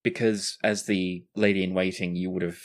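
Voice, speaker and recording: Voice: low voice, level tone, normal speed. A game master narrating a tabletop roleplaying game in a casual way, with some swearing.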